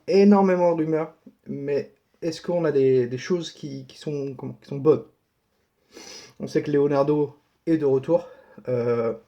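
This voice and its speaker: A man hosts a casual football news update in a mellow, relaxed voice. He sounds like he has a cold and talks colloquially, often repeating a point to drive it home. The recording is dull and lo-fi. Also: on the high side. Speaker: host of a football transfer news channel.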